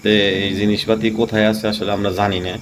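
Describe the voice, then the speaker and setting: Low voiced guy rambling thru a ghost story, crickets + noise behind. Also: little pitch movement, brisk. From Tangail, Bangladesh. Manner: rambling, many fillers and restarts. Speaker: channel host. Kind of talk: casual storytelling.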